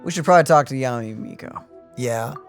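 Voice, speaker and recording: A woman hosting a gaming channel, reacting to a game with excited, playful comments. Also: a bass voice, brisk, over music.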